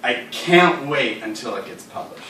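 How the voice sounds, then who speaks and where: A man giving a live reading of stories and poems in a wry, deadpan manner, delivering absurd lines seriously. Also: medium pitch, measured pace, a loud crowd. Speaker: writer reading his own work aloud. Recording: roomy echo, distant mic.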